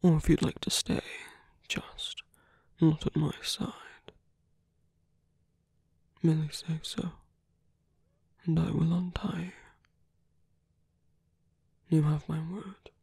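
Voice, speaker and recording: Man playing a husky, whispering seductive villain. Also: a little high, level tone, a little mellow, no echo, studio quality, heavily acted. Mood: measured, courteous. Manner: slow, whispery, teasing, deliberate. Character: seductive male villain, smug, coaxing, possessive yet courteous. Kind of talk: intimate ASMR roleplay acting.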